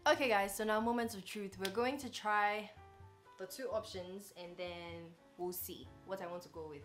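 A woman's voice: mid-range voice, normal speed, a bit bright, soft background music. A woman in her twenties chatting casually and playfully to camera as a vlogger, addressing her viewers directly in a bedroom. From Ghana. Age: 20s. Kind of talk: casual vlog chat to camera. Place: bedroom, indoors.

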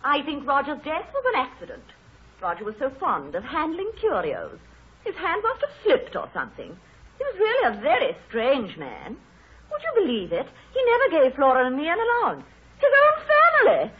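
A woman's voice: high-pitched, wildly swooping pitch, normal speed. An actress playing a snobbish, flighty middle-aged mother, fussy and haughty, in a 1930s radio drama. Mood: chattering, aggrieved. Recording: dull vintage radio broadcast.

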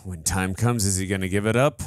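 A man in his thirties making quick, joking remarks while reacting to a video game on a headset mic. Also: low voice, steady tone, background noise. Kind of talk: casual reaction chat. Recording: game music playing behind.

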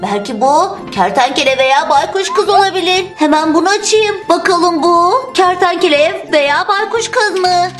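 A woman with a very high-pitched voice narrates toy play for children. Her style is playful storytelling, with music underneath. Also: moderate pace, hardly any pauses.

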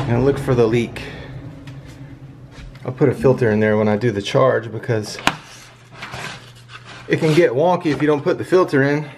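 A man's voice: medium pitch, noisy background. A man working as an HVAC technician, explaining his steps in a casual, chatty tutorial while he works. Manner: laid-back, chatty, talking while working. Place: outdoors beside an AC unit, later indoors.

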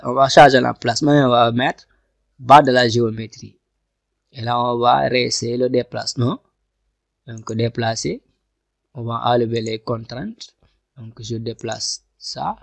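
A man giving a screen-recorded software tutorial, talking through each click step by step and often repeating himself. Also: mid-range voice, creaky, no echo. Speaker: software instructor. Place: at a computer, voice over screen capture.

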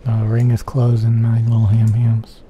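A man giving soft-spoken ASMR gameplay commentary in a murmur, repeating pet phrases, close to the microphone. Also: medium pitch, completely flat delivery, normal speed, muffled recording. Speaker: video game streamer. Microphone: close stereo microphone. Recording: faint keyboard typing noise.

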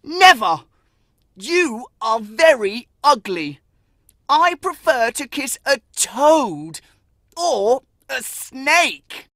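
A woman's voice: high voice, lively intonation, slow, a bit bright, no echo, studio quality, full character voice. Woman voicing a vain, cruel star child. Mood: scornful. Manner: sneering, scornful outbursts. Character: proud young boy, vain and cruel, later remorseful. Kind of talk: audiobook character acting.

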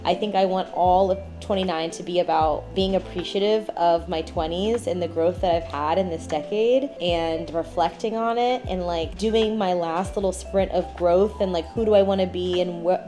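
A woman's voice: lowish voice, fairly even intonation, moderate pace, hardly any pauses, dark tone, faint music behind. A woman in her late twenties talking to the camera at home in a casual, reflective vlog, with many "like" fillers. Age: 20s. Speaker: vlogger. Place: at home indoors.

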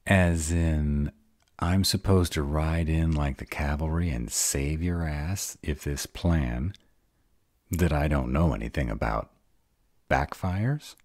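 A man's voice: a bass voice, slow, a little mellow, no room sound, clean studio sound, heavily acted. A man playing a sarcastic, guarded grown vampire who is insecure about love, in an audio roleplay. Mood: sarcastic, incredulous. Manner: sarcastic, wry.

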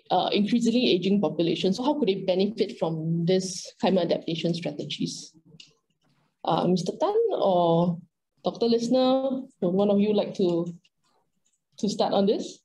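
Mellow Singaporean woman moderating a panel. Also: medium pitch, low-quality recording. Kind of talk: moderating an online panel discussion. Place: indoors, on a video call. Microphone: video call microphone.

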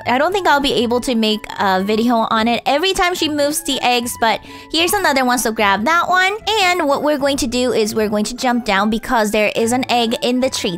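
A woman's voice: high voice, a bit bright. A woman casually narrating a game walkthrough, guiding viewers step by step, her voice tired and strained. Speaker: gaming channel host.

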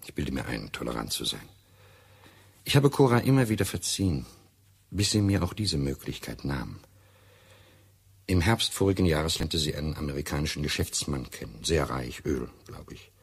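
Very deep, gravelly, formal doctor. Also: male voice, full character voice. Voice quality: gravelly, husky. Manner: formal, deliberate, emphatic. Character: courteous, bitter, self-righteous American doctor, betrayed husband. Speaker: actor in a radio play. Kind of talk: German radio drama acting.

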